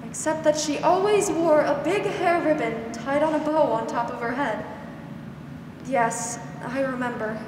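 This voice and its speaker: A young woman acting a gentle, weary, protective older aunt in a 1940s-style radio drama, soothing and hesitant. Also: high-pitched, a little slow. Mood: hesitant, sorrowful. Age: young adult. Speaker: student actor. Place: school theatre stage. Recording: echoey hall, noisy background.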